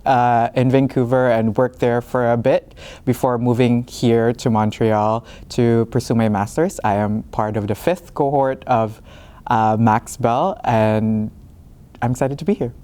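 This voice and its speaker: Mellow-voiced young man from the Philippines. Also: mid-range voice, steady tone, a little slow, clear, resonant tone. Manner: hesitant, many fillers and restarts. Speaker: master's student. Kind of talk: casual talk to camera.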